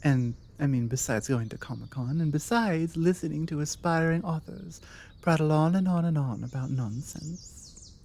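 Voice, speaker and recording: A woman with a British accent playing a forward, bookish, flirtatious vampire in an intimate ASMR roleplay, musing in a soft, wordy, playful way with hesitant pauses. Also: low voice. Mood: curious, teasing. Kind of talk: ASMR audio roleplay, one-sided intimate conversation.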